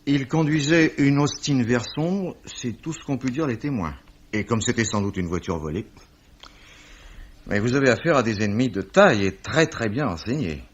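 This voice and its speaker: An actor playing a grave, world-weary police commissioner in a measured, ominous manner, in the style of a 1950s French radio mystery. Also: male voice, medium pitch, animated, natural voice. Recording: muffled vintage radio recording, faint noise.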